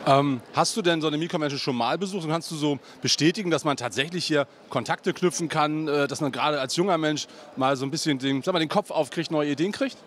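A man's voice: fairly high pitch, fast, few pauses, a bit bright. A middle-aged man reporting from a convention hall, interviewing guests with a handheld mic and chatting between questions with many fillers. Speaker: event reporter and host. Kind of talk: on-site event interviews and wrap-up to camera. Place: large indoor convention hall. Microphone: handheld microphone.

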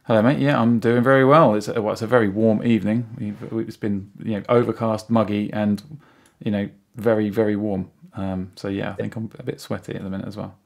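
A man's voice: on the low side, flat delivery, fairly quick, gravelly. A British man of about forty, a podcast guest chatting casually in a rambling way. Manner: rambling, frequent "you know". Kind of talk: casual chat.

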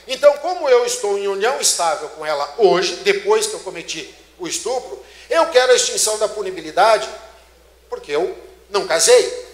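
A middle-aged Brazilian man giving a law lecture on stage, emphatic and full of rhetorical questions to the audience. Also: high-pitched. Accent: Brazilian Portuguese. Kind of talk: conference lecture. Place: large dark auditorium, on stage. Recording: echoey auditorium.

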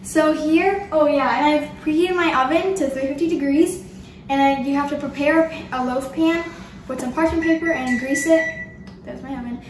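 Teen girl home-cooking host, high-pitched, enthusiastic, echoey kitchen room. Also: normal speed, background noise. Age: teens. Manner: bubbly, chatty, enthusiastic. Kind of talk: casual cooking demo.

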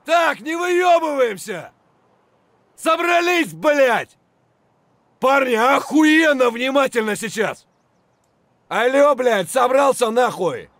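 Casting: aggressive game soldier, very high strained pitch, wildly swinging delivery, profane battlefield barks. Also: male voice, expressive, takes it slowly, pauses often, a bit bright, no echo, full character voice. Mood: angry commanding. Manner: barking, profane. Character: aggressive foul-mouthed male soldier under fire, urgent and commanding. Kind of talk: shouted military game voice lines.

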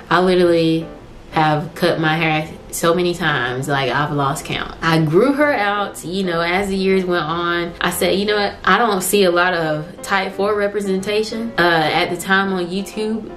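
Chatty young woman vlogging at home. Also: on the low side. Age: young adult. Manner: chatty, animated, acts out quoted voices. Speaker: hair vlogger. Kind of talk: casual chat to camera. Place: living room at home. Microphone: camera on tripod at medium distance.

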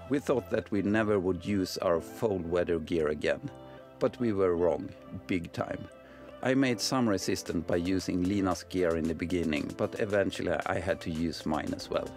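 A man's voice: deep voice, moderate pace, darkish tone. A middle-aged man with a Swedish accent, a native Swedish speaker, narrating a travel story in English from a script. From Swedish mountains. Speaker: sailor and vlogger. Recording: voice-over with music behind. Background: music.

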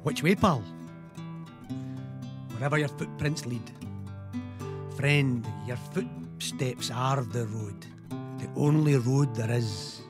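A man's voice: mid-range voice, slow, long pauses. A man reciting poetry. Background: guitar music.

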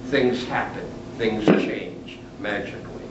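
An older man gives a chatty, humorous lecture from a lectern, his lowish voice picked up from the back of a large room. The sound is distant and roomy. It is dull, with a noisy background. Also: fairly even intonation, slow pace. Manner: chatty and humorous, chuckling at own jokes. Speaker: retired literature professor lecturing. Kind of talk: lecture with asides. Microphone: camera at the back of the room.

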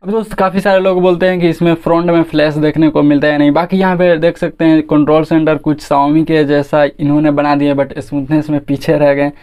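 A man's voice: a little high, flat delivery, fairly quick, few pauses, clear, resonant tone, highs cut off. A young man narrating a phone tutorial casually and informally, talking straight to viewers. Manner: informal, addresses viewers as guys and friend. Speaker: tech video host.

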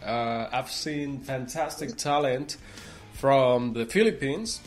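A man hosting a music interview show, speaking effusively with halting phrasing. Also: medium pitch. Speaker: radio talk show host. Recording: music playing behind the voice. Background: music with guitar.

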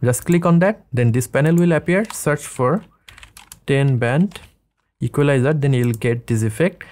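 A man in his thirties hosting a casual software tutorial, explaining things step by step. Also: medium pitch, moderate pace. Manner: step-by-step instructing. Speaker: tutorial host. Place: small indoor studio room.

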